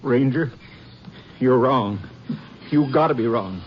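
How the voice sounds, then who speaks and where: A man's voice: medium pitch, slow pace, noisy background, close to an everyday voice. An actor in a 1950s radio drama playing an anxious country father who pleads for his son. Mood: pleading. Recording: dull lo-fi old radio recording.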